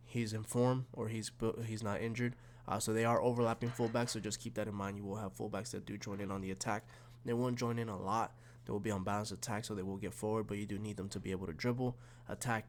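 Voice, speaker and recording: A man with a slightly raspy, snuffly voice from being sick, casually walking through football game tactics with lots of fillers and restarts. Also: fairly low pitch, slight background noise. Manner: rambling, many fillers and restarts. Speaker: gaming YouTuber.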